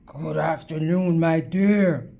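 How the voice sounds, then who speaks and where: A woman plays a big storybook wolf with courtly, coaxing manners. He speaks in a lowered register and sweet-talks slyly, taking his time. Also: slow pace, warm tone, full character voice. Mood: sly, courteous. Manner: polite, smooth-talking. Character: charming, sly, sweet-talking large male wolf.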